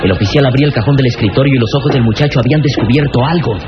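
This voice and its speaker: Male narrator, theatrical scripted storytelling for vintage radio drama; dull recording with clear music behind. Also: mid-range voice, very fast.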